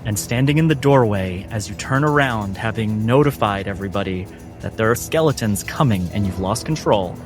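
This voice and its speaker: A man working as game master, narrating dark fantasy in measured, suspenseful phrases with dramatic pauses. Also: on the low side, expressive. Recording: music under narration.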